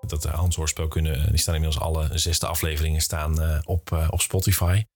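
A man with a Netherlands Dutch accent hosting a podcast interview conversationally, rephrasing haltingly as he goes. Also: rumbling low, level tone, a little mellow, faint music behind.

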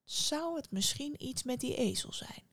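A woman's voice: lowish voice, a little fast, no room sound, lightly acted. Woman as a sly, muttering male innkeeper. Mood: suspicious. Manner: muttering, scheming.

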